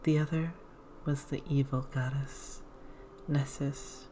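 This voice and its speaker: A woman reads a game aloud in a soft ASMR hush that often drops to a whisper. Her voice is low and dark, and she takes her time with a very slow, measured delivery. There is faint typing behind her. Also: level tone, a little hiss or hum. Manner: hushed, slow, measured reading with whispered passages.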